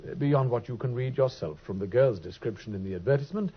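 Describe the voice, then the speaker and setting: An actor playing a brilliant, dryly teasing detective in a vintage radio drama, speaking with crisp, precise wit. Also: male voice, medium pitch, expressive, fast. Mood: wry. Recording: dull old radio recording.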